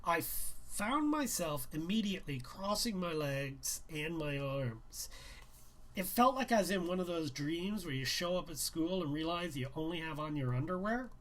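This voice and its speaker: A man from Canada reading his own book as an author, giving an expressive, theatrical and deliberate first-person narration. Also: on the high side, poor audio.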